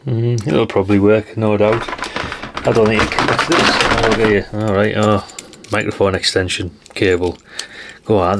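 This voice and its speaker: A man's voice: fairly low pitch, noisy background. A middle-aged man, rambling casually through a show-and-tell vlog in a chatty, down-to-earth way. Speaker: hobby radio vlogger.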